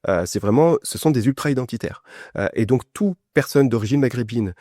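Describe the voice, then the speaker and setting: A man's voice: mid-range voice, animated, brisk, warm tone, dry recording, studio quality. A man from France answering as a podcast guest in a conversational, personal way, often restarting and repeating words. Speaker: podcast guest, essayist and journalist.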